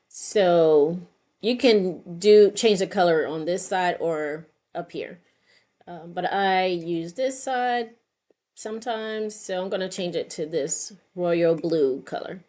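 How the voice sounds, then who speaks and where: Slightly low-voiced woman giving a tutorial. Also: slow, warm tone. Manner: unscripted, thinking aloud while working. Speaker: craft tutorial host. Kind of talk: informal step-by-step screen tutorial narration.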